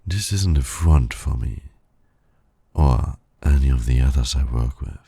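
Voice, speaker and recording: A man playing a suave, flirtatious villain in an ASMR romance roleplay, teasing in silky, formal, condescending words with a gravelly, breathy voice. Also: rumbling low, measured pace, dark tone, no room sound, exaggerated voice. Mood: smooth, assured. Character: suave male villain flirting with heroic nemesis.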